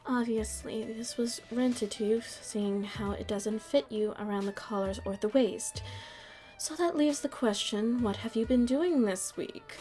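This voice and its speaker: Casting: actress as shrewd, proud mob headliner, female, higher voice, haughty and taunting, ASMR roleplay drama, music underneath. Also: a little slow, dark tone, natural voice. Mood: coolly analytical. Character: shrewd proud nightclub headliner and businesswoman in the mafia.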